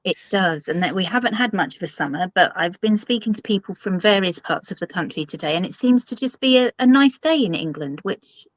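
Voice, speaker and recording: Woman host, mid pitch, dry and slightly muffled call audio, friendly short prompts. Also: expressive, fairly quick, hardly any pauses, dry recording. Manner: friendly, brief reactions. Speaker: interview host. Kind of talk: casual interview over a video call.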